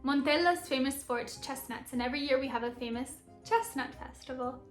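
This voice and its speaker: An enthusiastic young woman from Philadelphia chats brightly to camera about her channel. Her voice sits high and rings around an echoey room. Soft music plays faintly underneath. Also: brisk. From Philadelphia, United States. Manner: enthusiastic, bubbly, laughs often. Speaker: vlogger and Italian teacher. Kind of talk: upbeat vlog introduction to camera.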